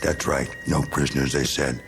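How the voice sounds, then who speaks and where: An actor playing a bitter, bigoted, self-pitying middle-aged American war veteran in a radio drama, with blustering, needling, slangy tough talk. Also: male voice, rumbling low, steady tone, normal speed, fairly bright tone. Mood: defensive. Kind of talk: dramatic radio play acting.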